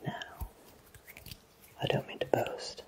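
A man in his thirties playing a gentle, courteous potion shopkeeper in a whispered ASMR roleplay, deliberate and close to the mic. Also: close to an everyday voice. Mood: courteous, inviting.

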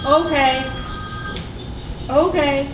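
A woman's voice: high voice, fairly even intonation, drawn-out words. A woman performing as herself in a puppet show, flustered and hesitant with bursts of laughter. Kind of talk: amateur puppet show acting. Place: stage in a hall with an audience. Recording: echoey room from far off with noise.

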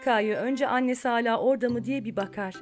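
A woman's voice: fairly high pitch, brisk, faint music behind, natural voice. A woman reading scripted narration for a children's cartoon story.

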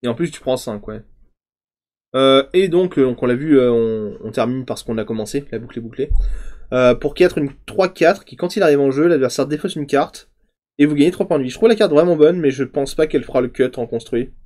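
A man in his thirties casually reviewing card previews on a stream, chatty and thinking aloud, often trailing off into quieter asides. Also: medium pitch, a little fast. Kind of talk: casual game commentary. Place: home streaming room, indoors.

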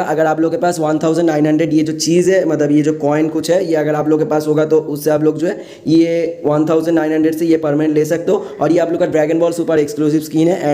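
Fast-talking young Indian man, mellow higher voice. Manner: repetitive, filler-heavy explaining, mixing in English words. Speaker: gaming YouTuber, host. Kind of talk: casual gaming explainer voice-over.

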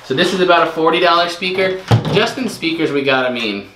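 A man's voice: fairly high pitch, expressive, brisk. A man in casual chat, going over items and estimating what they will resell for. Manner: chatty, estimating resale prices with a few restarts. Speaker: co-host reselling storage unit finds. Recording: echoey room with faint noise.

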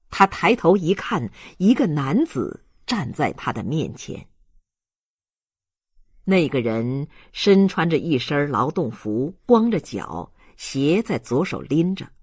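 A man reading a novel aloud as a dramatic, deliberate audiobook narrator. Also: a little high, slightly rough, no room sound, clean studio sound.

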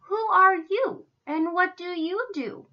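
A woman's voice: high-pitched, very animated, takes it slowly, exaggerated voice. A woman voicing haughty, dismissive zoo animals in a children's story, brusque and scornful, slightly dull recording. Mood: scornful, unwelcoming.

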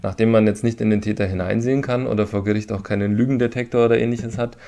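A man's voice: lowish voice, fairly even intonation, fast talker, mellow. A man giving methodical legal explanations in long sentences as an interview guest. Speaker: lawyer, expert guest.

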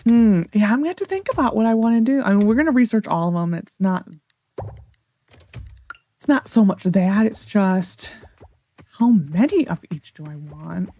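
A woman's voice: mid-range voice, lively intonation, normal speed, warm tone. A woman with a General American accent giving casual gameplay commentary, rambling as she thinks aloud to herself. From United States. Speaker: gaming video host.

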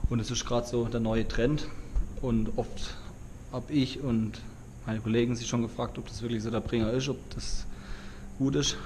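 Ein junger Mann aus Deutschland, der locker und begeistert mit Umgangssprache von seinem Roadtrip erzählt und dabei in eine Handkamera im Freien spricht. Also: male voice, medium pitch, normal speed. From Germany. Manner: excited, slangy, talks to camera outdoors. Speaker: travel vlogger. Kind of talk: casual travel vlog. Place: outdoors on a road trip in the mountains. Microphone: handheld camera. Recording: outdoor recording with clear wind and background noise.

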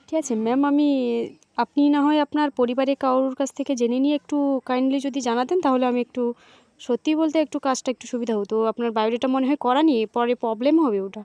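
A woman's voice: sits high, steady tone, fast, warm tone, dry recording, muffled recording. A woman with a voice affected by sickness, speaking casually as a prank caller and vlogger. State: sick, voice gone bad. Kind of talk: casual prank call and chat to camera.

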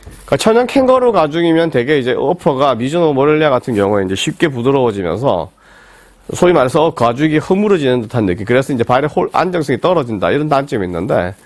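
A man in his forties with a cold, hoarse and rambling as he reviews football boots in a small indoor room. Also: medium pitch, big pitch swings, quick pace, no echo. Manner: rambling, with many fillers and restarts. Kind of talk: casual product review talk.

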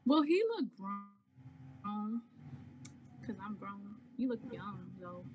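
A woman's voice: medium pitch, animated, slow pace, over music, low-quality recording. A teenage girl on a video call, talking bluntly and skeptically. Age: teens. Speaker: video-chat stranger. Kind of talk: casual video chat.

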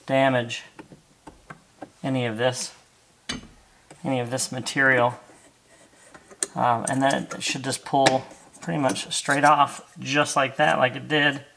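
A middle-aged man with an American accent talks casually through a hands-on install. His voice is gravelly with fry and sits at an ordinary pitch. He sounds easygoing as he works inside a car, with faint noise and clicks behind him. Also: fairly bright tone. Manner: easygoing, talking while working with his hands. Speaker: host of a car accessory install video. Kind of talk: casual hands-on tutorial chat.

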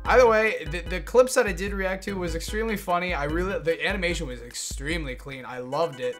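A young man giving casual, animated reaction commentary with swearing, speaking close to a desktop microphone in a room at home. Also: on the high side, brisk. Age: young adult. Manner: animated, exclaiming, informal. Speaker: YouTube host reacting to a video. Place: small room at home, indoors. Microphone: large desktop microphone, close. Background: music.

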